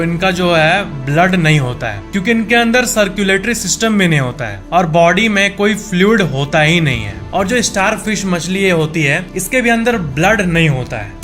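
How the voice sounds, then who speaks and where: A man with a high-pitched voice narrates an informal facts video over background music. He explains things conversationally, speaking directly to the viewer and restating his points as he goes. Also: fairly bright tone.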